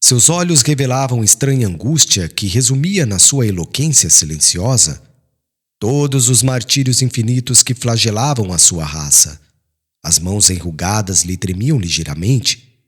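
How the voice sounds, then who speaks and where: A man reading an audiobook as dramatic literary narration, in a solemn, formal and expressive manner. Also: fairly low pitch, moderate pace, husky. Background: background music.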